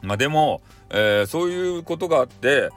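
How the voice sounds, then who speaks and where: A man with a Kyushu accent from Fukuoka narrating a comic story in a casual, halting, conversational way. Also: on the high side, big pitch swings, soft background music. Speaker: storyteller, audio show host.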